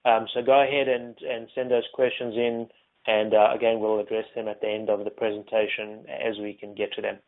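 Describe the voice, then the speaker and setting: A man's voice: on the low side, level tone, brisk. A man from South Africa with a South African accent, moderating a panel webinar in an easygoing way with many ums and uhs. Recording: phone-like narrow audio.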